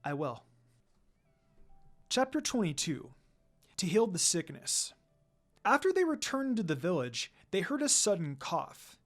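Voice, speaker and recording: An amateur narrator reading a fan fiction story aloud in an expressive storytelling style. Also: mid-range voice, measured pace.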